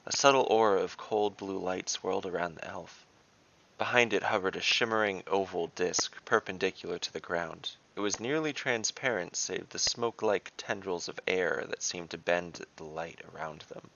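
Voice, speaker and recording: Low, gravelly male fantasy narrator. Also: normal speed, pushed and bright, highs cut off. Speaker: narrator and game master. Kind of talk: scripted fantasy story narration.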